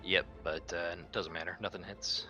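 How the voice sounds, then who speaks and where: A man's voice: deep voice, steady tone, normal speed, bright, forceful tone, highs cut off. A man playing a tabletop game, chatting in an informal, tentative way with music playing behind him. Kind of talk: casual game-table chat. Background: background music.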